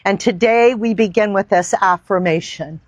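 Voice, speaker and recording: A woman teaching yoga, leading a guided meditation in deliberate, reverent phrases with long pauses. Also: medium pitch, no echo, dull audio. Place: outdoor park.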